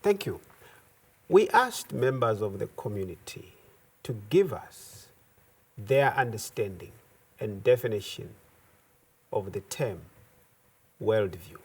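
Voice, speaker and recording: A man hosting a formal discussion program, introducing guests and asking questions in a slow, deliberate way. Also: lowish voice, big pitch swings, breathy edge. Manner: measured, deliberate phrasing. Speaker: host. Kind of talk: formal talk show hosting.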